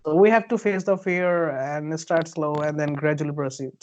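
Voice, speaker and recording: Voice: mid-range voice, steady tone, moderate pace, highs cut off, slight background noise. An interview host who asks polite, formally worded questions and answers with short affirmations.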